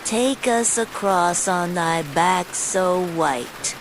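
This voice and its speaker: Woman voicing an earnest young storybook girl, unhurried, over rain. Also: fairly low pitch. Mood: pleading. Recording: steady rain noise over the voice.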